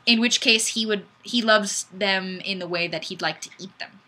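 A woman's voice: mid-range voice, moderate pace. A woman in her twenties hosting a casual webcam livestream, chatting goofily and reading out viewer comments. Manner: bubbly and goofy, bursts of squealing excitement. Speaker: livestream host. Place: small indoor room, plain wall. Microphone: webcam mic.

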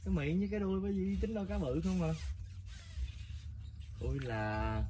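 A man with a Southern Vietnamese accent giving excited live commentary while fishing at a rural pond, repeating words as fish bite. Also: high voice, brisk, slight background noise. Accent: Southern Vietnamese (Mekong Delta). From Mekong Delta, Vietnam. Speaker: fishing vlogger. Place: outdoors by a muddy pond in rural greenery. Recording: outdoor ambience with birds.

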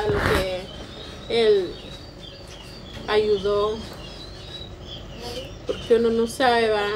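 A middle-aged woman with a Guatemalan Spanish accent, speaking slowly and earnestly in an interview on her patio. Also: medium pitch, noisy background. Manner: slow, halting and earnest, repeats "va". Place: outdoor concrete-block patio of a home. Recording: outdoor sound with birds behind.

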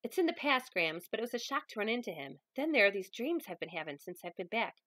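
A woman's voice: medium pitch, sing-song, fast, a bit bright, dry recording. A woman reading a novel aloud as a hurt, defensive woman of thirty-one, emotional and pleading.

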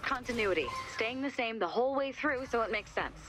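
A woman playing a level-headed, skeptical ship captain in a video game, measured and dry. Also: fairly high pitch, expressive, fairly bright tone, faint music behind. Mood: puzzled. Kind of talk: video game character voice acting.

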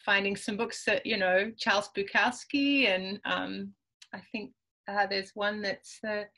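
Woman in her 40s, spanish native from peru, bright sharp voice, lots of ums and little laughs, on a webcam at home. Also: mid-range voice, steady tone, unhurried. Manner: chatty, many ums, laughs easily. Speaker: author guest. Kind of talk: casual conversational interview. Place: home room with bookshelves. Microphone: video call webcam.

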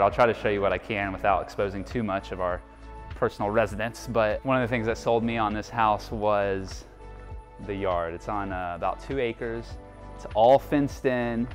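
A young man casually showing off his new house and yard in an easygoing way. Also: on the low side. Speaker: vlogger giving a house tour. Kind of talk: casual house tour narration. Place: empty kitchen and covered back porch. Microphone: camera mic from a few steps away.

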